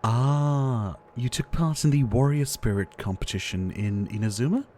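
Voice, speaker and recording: A man playing a gentle, ailing pharmacist doctor in an ASMR roleplay, soft-spoken and theatrical, with courteous, wistful phrasing and sighs. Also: medium pitch, normal speed, slight background noise, lightly acted. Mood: warm, welcoming.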